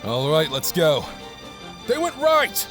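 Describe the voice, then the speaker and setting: A man's voice: on the high side, wildly swooping pitch, slow, music in the background, full character voice. A man playing a tough, blunt, loyal teenage boy brawler in an anime-style audio drama. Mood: fired up.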